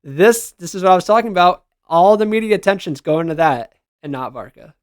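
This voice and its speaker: A man's voice: sits high, lively intonation, a little fast, dry recording, clean studio sound. A man giving casual, slangy reaction commentary as a gaming content creator, responding aloud to what he reads.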